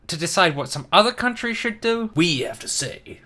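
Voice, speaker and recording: A man's voice: high voice, big pitch swings, normal speed, pushed and bright. Sarcastic New Zealand man ranting. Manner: sarcastic, profane, emphatic. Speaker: video commentator. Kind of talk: casual ranting video response.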